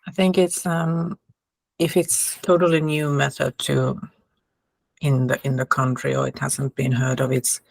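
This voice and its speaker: A woman with a Finnish accent, a podcast host asking questions gently in a casual interview. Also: extremely low pitch, little pitch movement, measured pace, creaky, dry recording. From Finland.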